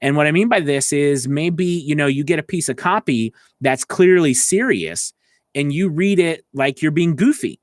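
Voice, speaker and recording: A man's voice: a little high, lively intonation, normal speed, no room sound, studio quality. A man speaking as a voice acting coach on a live stream, talking informally and directly to listeners in an encouraging, conversational way. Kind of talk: informal instructional talk to livestream viewers.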